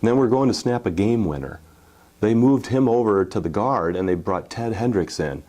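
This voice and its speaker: A man in his forties, a former football player, telling stories from his playing days in a relaxed interview, with many fillers and restarts. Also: on the low side, moderate pace. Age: 40s. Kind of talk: casual storytelling interview.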